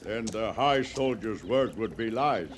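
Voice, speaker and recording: Weary proud cheyenne chief in an old radio western, real slow n solemn, noisy vintage recording. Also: male voice, lowish voice, animated. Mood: weary, wary. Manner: solemn, measured, formal simple phrasing. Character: grave, weary Cheyenne band leader, proud bereaved father. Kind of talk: 1950s radio western acting.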